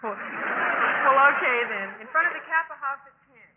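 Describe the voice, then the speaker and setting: Casting: spirited young co-ed, sentimental yet sure of herself, high lively voice, vintage radio drama with narrow noisy sound. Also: female voice, moderate pace. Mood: playful. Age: young adult. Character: eager, spirited young college sorority pledge, sentimental and self-assured. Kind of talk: 1950s radio drama acting. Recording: muffled, noisy 1950s radio broadcast.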